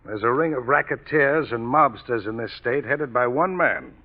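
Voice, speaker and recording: An actor playing an earnest middle-aged reform politician in a 1930s radio drama, speaking in a measured way. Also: male voice, medium pitch, animated, lightly acted. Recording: dull old radio recording.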